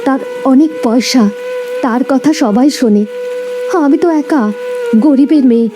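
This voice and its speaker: Woman acting a plaintive, high-voiced young village woman. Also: measured pace. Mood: despairing. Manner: plaintive, despairing. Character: sorrowful, hopeless young village woman cast out. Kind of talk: cartoon story dialogue. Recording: loud music behind the voice.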